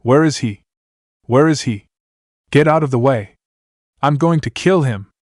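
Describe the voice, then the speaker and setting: A man voicing a gruff male dwarf warrior, loud and blustering, then fond, in a dry recording. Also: mid-range voice, unhurried, no room sound, close to an everyday voice. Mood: angry.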